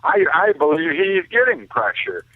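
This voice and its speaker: A man's voice: fairly high pitch, sing-song, moderate pace, no echo. A man giving opinionated sports talk over a narrow phone line, speaking emphatically and often addressing his listener as "man". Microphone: telephone.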